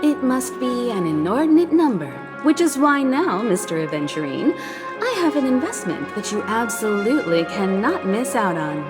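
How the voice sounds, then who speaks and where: Game role: composed, persuasive young female diplomat, expressive melody over clear background music. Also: on the high side, moderate pace. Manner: courteous, elegant, persuasive. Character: poised, shrewd young female space-train navigator. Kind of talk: scripted video game dialogue. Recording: music behind the voice.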